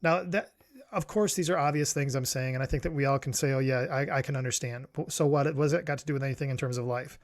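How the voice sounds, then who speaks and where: A man's voice: mid-range voice, fast. A middle-aged man from New York giving a reflective solo talk as a podcast host, rambling with many restarts and fillers. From New York, USA.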